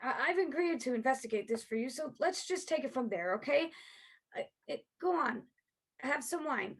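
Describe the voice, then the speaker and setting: A woman playing a female private investigator, outwardly sympathetic and halting, in a dramatic stage play reading. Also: sits high, lively intonation, husky, full character voice. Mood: placating. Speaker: actor in a play workshop.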